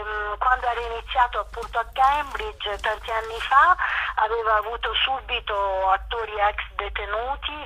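A woman interviewed as a festival director, explaining earnestly and at length, pausing to search for words. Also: medium pitch, sharp tone, husky, low-quality recording. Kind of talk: interview answers, explanatory talk.

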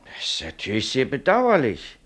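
An actor playing a self-righteous company doctor in a German radio play, formal, pedantic and condescending. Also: male voice, mid-range voice, huge pitch swings, moderate pace, fairly bright tone. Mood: cautious, condescending.

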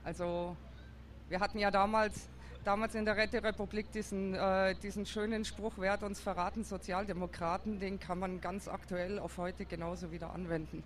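A woman's voice: mid-range voice, steady tone, normal speed. A woman speaks outdoors on a busy city square with a faint hum of traffic behind her. She answers questions in a thoughtful, hesitant way. She often stops to search for words. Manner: thoughtful, hesitant with many fillers. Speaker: interviewee, district councillor. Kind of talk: street interview.

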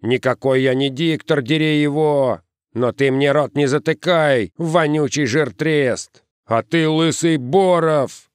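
A man voicing a rowdy, foul-mouthed, bullying boy from a gang, shouting crude slang in a story. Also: fairly high pitch, unhurried, dry recording, clean studio sound, pitched above the performer's own voice, close to an everyday voice. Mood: aggressive, rowdy.

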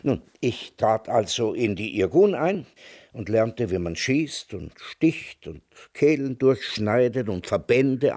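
An actor in a radio drama playing an old, retired emigrant veteran who speaks in a measured, wry, reminiscing way. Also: male voice, medium pitch, a little mellow, no echo, clean studio sound, exaggerated voice. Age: older. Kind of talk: German radio drama acting.

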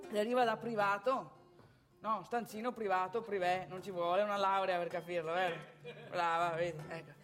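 Boastful, crude male club regular played by a woman, very high voice, emphatic and chummy, live comic theatre. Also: close to an everyday voice. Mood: confiding, explanatory. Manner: repetitive, emphatic, chatty, addressing listeners directly. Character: excitable, crude, boastful male strip-club regular from provincial northeast Italy.

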